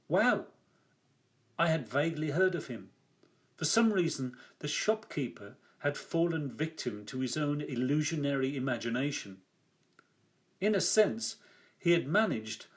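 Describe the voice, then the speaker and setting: An older man reading his own first-person story aloud like an author at a reading, theatrical and wry, with deliberate emphasis. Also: medium pitch.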